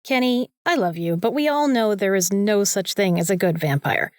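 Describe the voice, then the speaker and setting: A sarcastic, opinionated young female student played by a woman in an audio drama. She speaks emphatically and bossily at a middling pitch. The sound is close and dry, with no room around it. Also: moderate pace, no room sound, natural voice. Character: bossy, sarcastic young female student, vampire slayer's sidekick.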